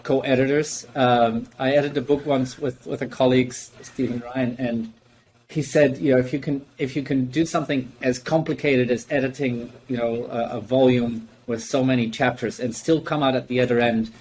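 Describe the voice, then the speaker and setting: A man's voice: medium pitch, fairly even intonation, normal speed, a little mellow. Man, online host, casual, fillers, restarts, faint hiss behind. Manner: chatty, many fillers and restarts. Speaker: host and book editor. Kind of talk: casual online book launch hosting. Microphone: video call.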